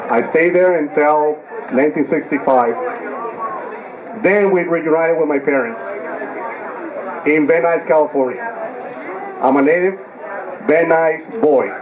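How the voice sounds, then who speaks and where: High-voiced older man over a loud crowd. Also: rough recording. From Cuba. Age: 60s. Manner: brisk, listing facts, good-humored. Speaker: retired engineer, reunion guest. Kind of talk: short speech to a crowd.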